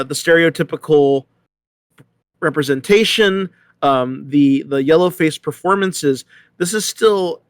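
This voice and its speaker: A man hosting a thoughtful discussion, speaking haltingly and repeating words as he works through an idea. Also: a little high, dry recording, clean studio sound. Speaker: host moderating a panel discussion.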